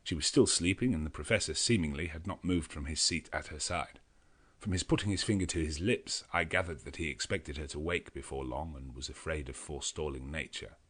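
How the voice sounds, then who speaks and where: A man narrating an audiobook in a measured, grave storytelling manner. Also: rumbling low, a bit bright, husky. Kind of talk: scripted narration.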